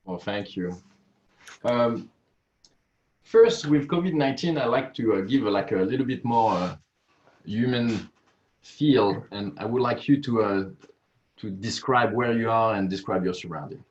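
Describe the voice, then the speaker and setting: Deep-voiced man hosting a video webinar. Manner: halting, many filler pauses. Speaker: webinar host. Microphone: video call. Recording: muffled video call audio.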